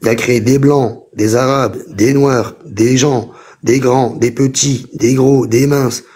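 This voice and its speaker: A man's voice: medium pitch, steady tone, slow pace, a little mellow, slightly rough. A man hosting a heated live debate, insistent, repeating himself to keep the floor. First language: French. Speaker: host. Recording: TikTok live call audio.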